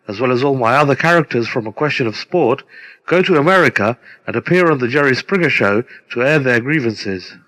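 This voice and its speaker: A man with a mid-range voice introduces his own radio play. His delivery is scripted, measured and formal, and it rings with long reverb. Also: medium pitch, fairly bright tone. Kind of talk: scripted narration. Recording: long reverberant hall sound.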